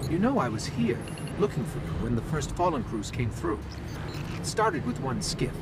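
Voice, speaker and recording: A man's voice: medium pitch, sing-song, normal speed, heavy background noise. A man voicing a small, earnest and inquisitive robot companion in a video game, chatty and slightly wry. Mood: grave, reflective. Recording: loud game music behind the voice. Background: loud music and game sound.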